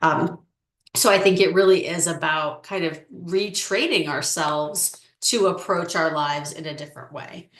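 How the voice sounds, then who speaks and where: A woman coach answering interview questions in a measured, explanatory way with frequent "um"s. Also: deep voice, fairly bright tone. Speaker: relationship coach. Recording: echoey room.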